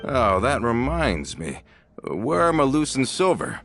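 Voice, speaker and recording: An actor playing a cheerful young man just back from prison in a video game, with a jovial, expressive delivery. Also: medium pitch, a little slow, soft background music.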